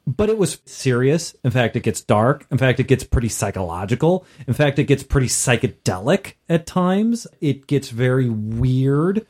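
A man's voice: mid-range voice, sing-song, moderate pace, hardly any pauses, darkish tone. A man co-hosting a conversational podcast, talking emphatically and repeating phrases for stress. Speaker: podcast co-host. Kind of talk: conversational discussion.

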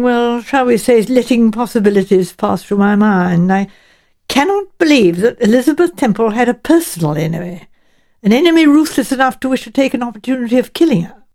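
A woman voicing a learned older male professor, speaking in a deliberate, musing, pedantic way with hesitant pauses. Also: fairly high pitch, expressive, a little mellow. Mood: hesitant, thoughtful.

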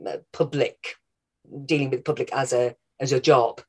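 A woman's voice: rumbling low, fairly even intonation, normal speed, husky. Chatty Scouse-tinged North Welsh woman. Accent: North Wales, Scouse-tinged. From North Wales. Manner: chatty, laughs often, enthusiastic. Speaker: guest actress. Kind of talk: casual interview chat.

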